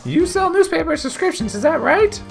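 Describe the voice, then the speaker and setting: A woman's voice: extremely high pitch, very animated, normal speed, vocal fry, pitched above the performer's own voice, full character voice. A smug male video game prosecutor, acted out by a woman in a raised, theatrical voice. The formal phrases swoop up and down, with music behind.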